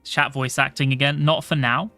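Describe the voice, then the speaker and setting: Soft-voiced man streaming casually. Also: medium pitch, steady tone, moderate pace, faint music behind. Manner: rambling, chatty, swears casually. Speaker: game streamer. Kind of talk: casual livestream chat.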